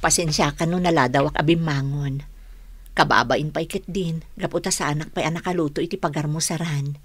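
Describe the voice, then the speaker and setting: A woman's voice: sits low, fairly even intonation, fairly quick, slightly rough, no echo. A woman playing a loving, anxious partner in an Ilocano radio drama, with tender, emotive delivery. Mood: polite, apologetic.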